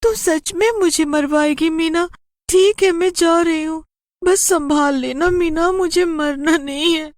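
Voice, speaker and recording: A frightened young village girl in a horror story, played by a woman. Her voice is pitched very high and sounds childlike. She speaks urgently and anxiously in a dry recording. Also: measured pace, no room sound. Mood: reluctant, afraid.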